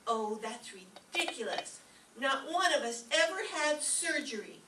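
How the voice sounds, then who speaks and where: A woman's voice: high voice, expressive, unhurried. A woman playing a naive, drowsy, dim-witted young secretary in an old-time radio comedy. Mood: worried, earnest. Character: ditzy, sleepy young female secretary, naive and earnest. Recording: roomy, distant stage recording with faint noise.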